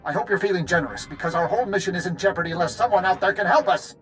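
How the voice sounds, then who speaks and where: A man's voice: high-pitched, very fast, fairly bright tone. A man playing an embattled, loyal squad leader in a video game, speaking in an emphatic military manner. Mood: urgent, exasperated. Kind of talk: cinematic video game voice acting. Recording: music behind the voice.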